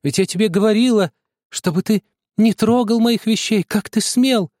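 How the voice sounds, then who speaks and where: A man's voice: high-pitched, normal speed, darkish tone, no echo, clean studio sound, pitched above the performer's own voice, close to an everyday voice. A man reading aloud and voicing an angry, tearful older sister who shrieks accusations at her little brother. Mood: furious. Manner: shrieking, accusing, near tears.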